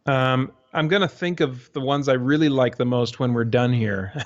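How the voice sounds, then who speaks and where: A man with a mid-pitched voice chats as a guest on a podcast. He is informal and easygoing, with a self-deprecating way of talking. The sound is a little dull and lo-fi. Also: moderate pace. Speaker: podcast guest, audio drama creator. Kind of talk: casual interview chat.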